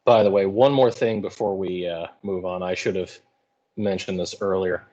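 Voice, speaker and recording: A male English teacher with a fairly low voice talks through a lesson informally. His phrasing is colloquial and wry, more like explaining to students than reading a script. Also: fairly even intonation, normal speed, warm tone, highs cut off. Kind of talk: informal lecture commentary.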